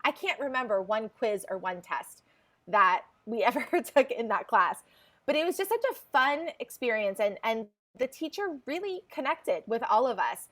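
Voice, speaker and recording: A woman's voice: fairly high pitch, very animated, moderate pace, fairly bright tone. A woman in her forties, a podcast guest, telling her story in a chatty way with frequent laughs. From New Jersey. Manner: chatty, laughs often, restarts phrases. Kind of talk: casual interview chat.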